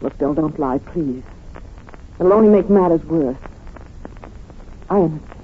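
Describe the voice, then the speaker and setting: An actress playing a devoted, possessive wife in an old radio mystery, speaking with quiet, insistent intensity. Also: female voice, sits low, measured pace. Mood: pleading, knowing. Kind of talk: 1940s radio drama acting. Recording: muffled, noisy old radio recording.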